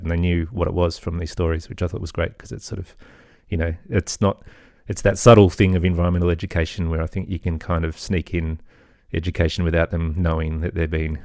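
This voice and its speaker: A middle-aged man talking casually and reflectively as a podcast guest, thoughtful and tentative, often softening what he says. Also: sits low, dry recording, clean studio sound. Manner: hesitant, thoughtful, hedging with softeners. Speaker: podcast guest, children's audio storyteller. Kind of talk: casual reflective interview talk.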